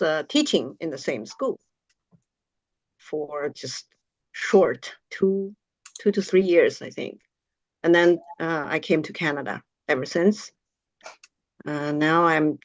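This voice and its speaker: A middle-aged woman with a Chinese accent gives an informal, halting self-introduction. She speaks slowly in a lowish voice. The online call audio is somewhat dull. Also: no echo. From China. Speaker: artist and art teacher. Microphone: online video call.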